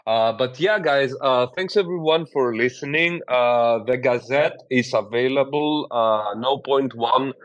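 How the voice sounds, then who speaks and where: A man with a Greek accent hosting a casual podcast chat, laughing often, swearing casually and filling pauses with "uh". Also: mid-range voice, no echo, highs cut off. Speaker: host.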